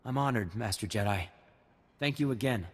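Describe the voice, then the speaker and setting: A man voicing an earnest, respectful young militia recruit in a video game, with a husky voice. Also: fairly low pitch, lively intonation, moderate pace, big-room sound. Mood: respectful, grateful.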